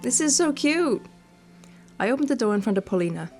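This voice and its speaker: A woman's voice: fairly high pitch, very animated, brisk, darkish tone. A woman doing casual, playful gaming commentary and reading game text aloud, chatty and informal. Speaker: gaming video host. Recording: music playing behind the voice. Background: background music.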